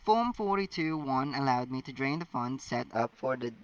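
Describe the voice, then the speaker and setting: A man's voice: mid-range voice, lively intonation, normal speed, close to an everyday voice. A man reading a stern, exacting teenage schoolgirl with a formal, officious manner, as in a storybook. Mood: smug, precise. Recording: distant mic, dull lo-fi sound.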